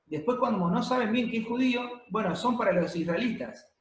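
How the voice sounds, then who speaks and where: A man preaching a sermon to a congregation, explaining at a measured pace with many pauses and fillers. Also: high voice, lively intonation. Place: church hall, congregation present and streamed. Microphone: handheld or stand microphone. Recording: echoey room.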